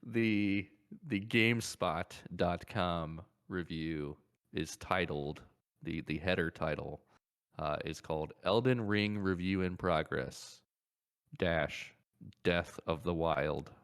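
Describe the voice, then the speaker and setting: Male podcast co-host with a low voice, casual gaming talk, hesitant and repetitive as he thinks aloud. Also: slow, fairly bright tone, smooth. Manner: hesitant, repeats words while thinking aloud.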